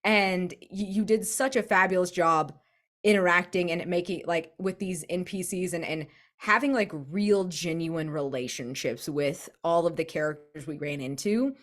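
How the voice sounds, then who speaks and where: A woman's voice: lowish voice, normal speed. Gushing, effusive woman chatting casually. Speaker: tabletop role-playing game player. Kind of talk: casual post-game chat.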